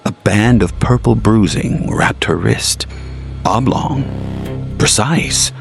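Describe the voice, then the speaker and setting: A man narrating a scripted gothic tale in a grave, deliberate manner. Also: on the low side, animated, vocal fry, faint music behind.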